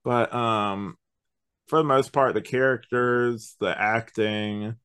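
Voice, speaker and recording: A man's voice: medium pitch, fairly even intonation, slow, fairly bright tone, clean tone. A man hosting a podcast in casual review chat, rambling a little and hesitant as he feels out his thoughts. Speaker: podcast host.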